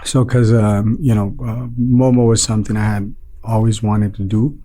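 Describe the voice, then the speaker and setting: A man from Nepal, a restaurant owner being interviewed, talking in a relaxed way with many fillers and restarts. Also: fairly low pitch, moderate pace. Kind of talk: casual interview talk.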